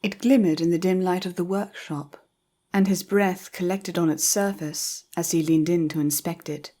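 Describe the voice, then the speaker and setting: A woman storyteller reading scripted fiction narration in a measured, careful way. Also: on the low side, fairly even intonation, dark tone.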